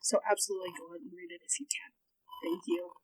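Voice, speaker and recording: A woman's voice: lowish voice, lively intonation, quick pace, breathy edge, low-quality recording. A woman podcast co-host sharing her personal take on a book in a casual way. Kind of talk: casual spoken book review.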